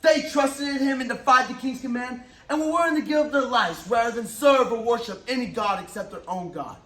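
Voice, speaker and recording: A man playing an amazed, excitable king in a dramatized Bible recitation, loud and declamatory. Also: very high-pitched, fairly quick. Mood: exalted, decreeing. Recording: echoey hall, far from mic.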